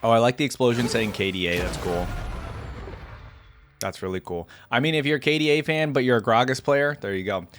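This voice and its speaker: A man's voice: medium pitch, brisk, fairly bright tone. A man hosting a reaction video, speaking in an informal, enthusiastic way. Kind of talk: casual reaction commentary and story reading.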